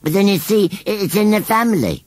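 A man voicing a shy, wheezy male scarecrow who speaks slowly and mournfully. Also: high voice, very animated, slightly rough, dry recording, pitched above the performer's own voice, heavily acted. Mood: forlorn.

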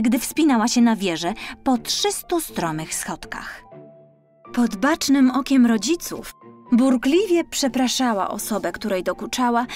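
A woman narrates a children's audiobook as a storyteller. Her voice is high and she takes her time. Light music plays behind her.

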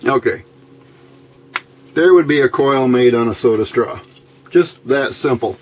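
A man with a medium-pitched voice talks through an electronics build at his workbench. He goes slowly and plainly, with halting explanations in an informal tutorial style. There is noticeable noise on the camera microphone recording. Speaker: electronics hobbyist demonstrating a build. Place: indoor workbench.

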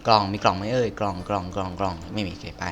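Bright-voiced man commentating a game. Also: deep voice, fairly even intonation, rapid-fire, soft background music. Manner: exclamatory, slangy, talking to himself. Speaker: gaming video host playing through a game. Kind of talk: casual gameplay commentary.

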